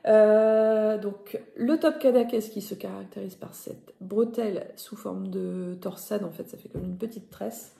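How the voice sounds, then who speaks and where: A woman's voice: mid-range voice, a little slow, vocal fry, few pauses, dark tone. A woman from northern France with a Ch'ti accent, hosting a knitting podcast in a casual, hesitant chat full of self-corrections. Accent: Ch'ti (northern French). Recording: echoey room.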